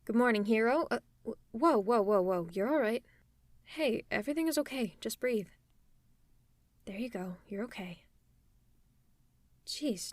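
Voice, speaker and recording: A woman performing an audio roleplay, talking softly and teasingly to the listener as if to a friend. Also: fairly high pitch, no room sound. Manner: soft, caring, teasing, often near a whisper. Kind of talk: ASMR roleplay, speaking to the listener.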